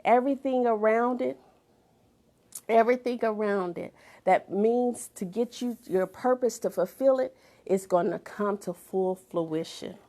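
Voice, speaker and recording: A woman's voice: on the high side, unhurried, warm tone. A middle-aged woman giving an emphatic inspirational talk, repeating phrases for effect and sometimes dropping to a whisper. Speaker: motivational speaker and author.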